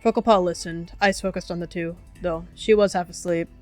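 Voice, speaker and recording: A woman's voice: on the low side, steady tone, brisk, a little mellow, soft background music. A woman narrating characters' actions in a descriptive roleplay game.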